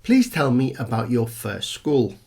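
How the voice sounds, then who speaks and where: A man plays the examiner, reading out scripted questions and instructions. His voice is husky with plenty of fry. His intonation moves up and down in a lively way. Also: mid-range voice, unhurried.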